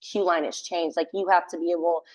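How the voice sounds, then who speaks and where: A young woman talks in a casual podcast interview about her theater tech work. Her voice is loud and sits a little low, and she leans on "like" as she rambles through her answers. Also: level tone, fairly quick. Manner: chatty, many fillers like "like". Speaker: guest, theater sound tech and assistant stage manager.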